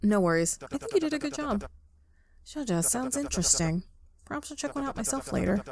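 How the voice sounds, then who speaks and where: A woman's voice: sits high, expressive, fairly quick, vocal fry, a crowd in the background, voice pushed up. A woman voicing a courteous, inquisitive, bookish man in a visual novel game, with polite and formal phrasing. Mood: friendly, formal.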